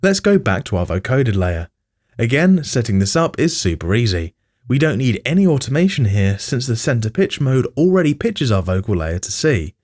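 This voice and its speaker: Guy hosting a music production tutorial, scripted narration, really lively pitch swings, medium register. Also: normal speed.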